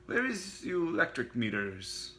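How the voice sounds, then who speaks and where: A man's voice: fairly high pitch, a little fast, vocal fry, a little hiss or hum, voice pushed up. A man voicing a jovial, rotund male utility worker with broken English and a nervous, quavering, obliging manner. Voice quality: broken English, cartoonish plumber-style accent.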